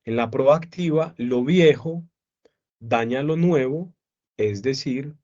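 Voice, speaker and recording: Man, psychology teacher, online lecture, mid-pitched voice, dull lo-fi audio, checks in with his students. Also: a little mellow. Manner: explains slowly with repeated questions to students.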